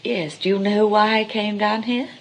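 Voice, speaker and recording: An actress playing a flirtatious, determined society woman in a 1920s comedy of manners, gushing, archly polite and quick to take offence. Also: mid-range voice, steady tone, a little hiss or hum, natural voice. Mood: coy admiration. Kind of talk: 1920s English comedy of manners stage acting.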